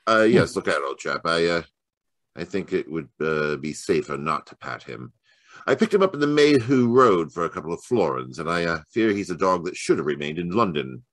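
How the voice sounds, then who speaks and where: A man playing a genial, shrewd gentleman detective in a radio mystery, with courtly, wordy, slightly hesitant phrasing. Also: low-pitched, lively intonation, moderate pace, no room sound. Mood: wry, reserved. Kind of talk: old-time radio mystery acting.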